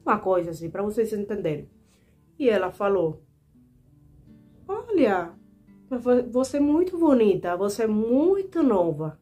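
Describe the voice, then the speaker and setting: A woman's voice: mid-range voice, big pitch swings, a little slow, long pauses, warm tone, faint music behind. Earnest young Cuban woman vlogger. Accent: Cuban Spanish. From Cuba. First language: Spanish. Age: 20s. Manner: earnest, indignant, repeatedly addressing viewers as "gente". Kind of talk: personal storytelling to camera.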